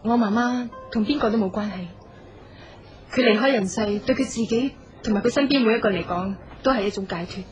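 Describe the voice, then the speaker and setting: A woman's voice: on the high side, fairly quick, natural voice. A woman with a Hong Kong Cantonese accent acting a proud, curt young designer in a radio drama. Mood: cool, businesslike. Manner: curt, aloof. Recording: dull audio with music underneath.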